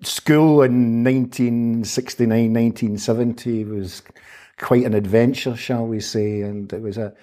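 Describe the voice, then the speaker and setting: A man, a humanitarian aid worker giving measured, thoughtful answers as an interview guest. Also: mid-range voice, steady tone, warm tone. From Scotland. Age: 50s. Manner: measured, thoughtful, qualifies his words. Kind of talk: reflective interview conversation.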